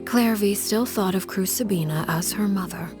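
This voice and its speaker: An actress playing a grave, composed woman who recounts a tragic tale in measured, hushed phrases. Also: mid-range voice, lightly acted. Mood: somber. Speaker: storytelling game character. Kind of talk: scripted dramatic narration. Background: game music.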